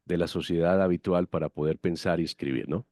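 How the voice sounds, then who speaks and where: A man's voice: sits low, fairly even intonation, fairly quick, dark tone, no room sound, studio quality. A man hosting an interview, courteous and effusive as he asks his questions. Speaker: interviewer, host.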